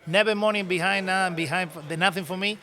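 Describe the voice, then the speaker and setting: A middle-aged man from Cuba with a Cuban Spanish accent, telling stories in animated broken English as a podcast guest. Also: sits high, quick pace. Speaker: podcast guest, cigar business owner. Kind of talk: casual storytelling conversation.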